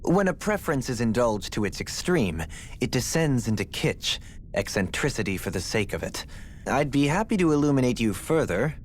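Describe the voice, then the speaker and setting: An actor playing an erudite, courteous adventurer in a video game, speaking in a refined, lecturing way. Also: male voice, medium pitch, sing-song, moderate pace, slight background noise, lightly acted. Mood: lofty, musing. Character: erudite, lofty male adventurer, courteous and philosophical. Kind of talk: video game dramatic acting.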